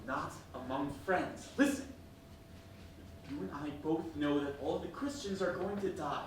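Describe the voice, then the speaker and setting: A woman's voice: deep voice, measured pace. A woman acting a cautioning, pragmatic Roman confidant, urgent and matter-of-fact, in a staged theatrical reading. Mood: urgent, cold. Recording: very echoey hall from far, faint noise.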